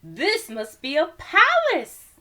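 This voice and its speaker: A woman's voice: very high-pitched, huge pitch swings, takes it slowly, bright, forceful tone, voice pushed up, full character voice. A woman voicing a small toy bear with an earnest, wondering, eager manner in a storybook reading. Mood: awed.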